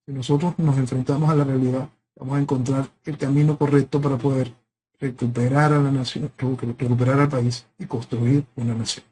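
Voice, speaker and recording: A man with a Venezuelan accent giving an impassioned political monologue, piling up rhetorical questions and swinging between loud peaks and quieter drops. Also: mid-range voice, little pitch movement, fairly quick, slight background noise, rough recording. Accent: Venezuelan Spanish. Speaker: political commentator.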